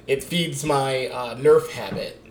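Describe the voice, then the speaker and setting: A man's voice: mid-range voice, slow, a little hiss or hum. Rambling man in his twenties, echoey room. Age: 20s. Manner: rambling, many restarts and fillers, laughs often. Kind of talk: casual political chat.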